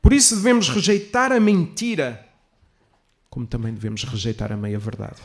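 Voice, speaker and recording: A man preaching a sermon as a pastor, teaching in a measured, didactic way with emphatic stresses and rhetorical questions. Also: medium pitch. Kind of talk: sermon, expository preaching to a congregation.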